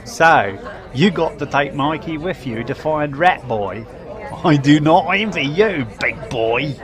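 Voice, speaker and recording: A sly, teasing minion from a fantasy radio play, acted by a man. He drags his words out in a highish voice over a busy crowd background. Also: animated. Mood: taunting. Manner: teasing, drawn-out. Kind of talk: fantasy audio drama acting.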